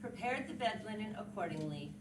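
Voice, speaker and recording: A woman's voice: mid-range voice, lively intonation, a little fast. A woman giving a dramatic reading of literary prose. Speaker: literary reader. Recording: roomy, distant mic, loud background noise.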